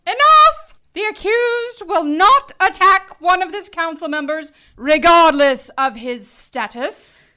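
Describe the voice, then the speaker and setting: An actress playing a stern, imperious cherub judge in an audio drama, pompous, formal and commanding. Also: female voice, extremely high pitch, expressive, slow, few pauses, bright, forceful tone, heavily acted. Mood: indignant, commanding.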